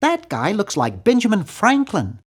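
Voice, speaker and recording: A man's voice: sits high, wildly swooping pitch, moderate pace, breathy edge, heavily acted. A man voicing a nerdy, timid, fussy young hero of a cartoon adventure game, with a prim, wry, bookish delivery. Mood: feigned excitement. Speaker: game character voice actor.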